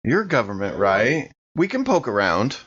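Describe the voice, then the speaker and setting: A man's voice: mid-range voice, sing-song, a little slow, a bit bright, slightly rough, lo-fi sound. A man playing a tabletop game, talking casually and improvising his character's actions.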